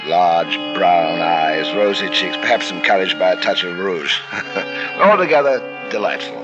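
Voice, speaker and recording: A deep, low-voiced doctor with a killer's calm, as an actor plays him, narrating his own crimes with sly, chilling asides and a suave, falsely sorrowful charm. An old radio drama recording with music running underneath. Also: muffled recording, close to an everyday voice. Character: smooth murderous doctor narrating coldly. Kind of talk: 1940s radio acting.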